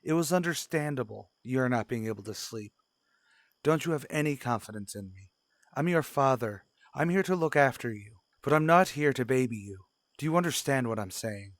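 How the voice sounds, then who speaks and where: A man playing a stern, demanding father in an old-style radio drama, speaking formally and with measured admonishment. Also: medium pitch, lively intonation, no room sound, close to an everyday voice. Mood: stern, disappointed. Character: stern, demanding 1940s father scolding his young son. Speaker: voice actor.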